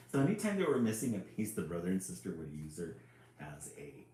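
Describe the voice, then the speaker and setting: A man hosting a casual, expressive storybook read-aloud and adding his own chatty asides. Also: deep voice, moderate pace. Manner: chuckling, expressive. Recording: roomy echo, some background noise.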